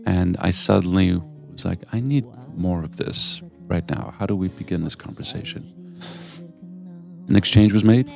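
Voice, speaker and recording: A man's voice: low voice, normal speed, vocal fry, over music. A man hosting a radio interview, talking with enthusiasm and telling stories in a rambling way. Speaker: radio show host. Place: venue in Lisbon with music behind.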